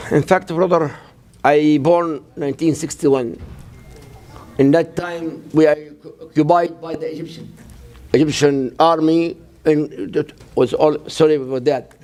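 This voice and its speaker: An imam in his sixties from Gaza with a Palestinian Arabic accent, speaking halting non-native English with frequent restarts in an interview. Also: on the high side, steady tone, darkish tone. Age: 60s. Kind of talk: interview conversation.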